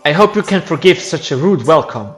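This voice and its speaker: A man who is not a native English speaker voicing a dignified, gentle queen bee in a game, speaking formally and with composure. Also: fairly high pitch, expressive, fairly quick, a little hiss or hum. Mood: composed, apologetic. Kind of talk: amateur voiced game storyline. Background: loud game music.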